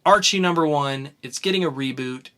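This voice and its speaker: A male podcast co-host running through upcoming comic releases in a casual, upbeat way. His voice sits a little high and sounds bright and forceful. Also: moderate pace. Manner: listing items in a chatty, upbeat way.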